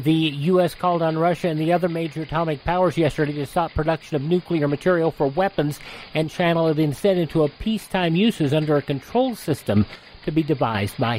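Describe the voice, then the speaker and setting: A man reading news bulletins in a crisp announcer style. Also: a little high, background noise. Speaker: newsreader.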